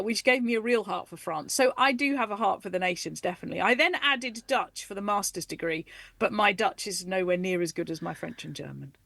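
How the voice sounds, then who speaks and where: A woman in her forties talking as a guest on a radio interview, eager and animated, and quick to laugh. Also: medium pitch, creaky, no room sound. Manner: animated, enthusiastic, laughs easily. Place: radio studio.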